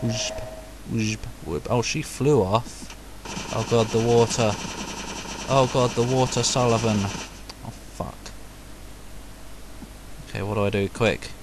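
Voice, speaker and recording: A man's voice: mid-range voice, slow, long pauses, noisy background. A man giving casual, chatty commentary while playing a video game, sarcastic and talking to himself. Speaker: let's play host. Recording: loud game soundtrack over voice. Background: loud game music.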